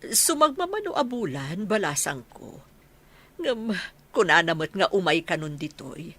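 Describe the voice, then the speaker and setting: A woman's voice: on the low side, very animated, unhurried, pushed and bright, exaggerated voice. A woman with a Filipino Ilocano accent playing a wronged, emotional older mother in a radio drama. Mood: gentle. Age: middle-aged.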